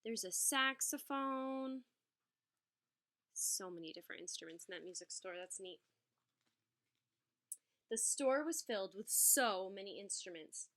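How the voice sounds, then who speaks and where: A woman reading a picture book aloud as a teacher, adding expressive explanatory asides for young children. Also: mid-range voice, a little slow, long pauses.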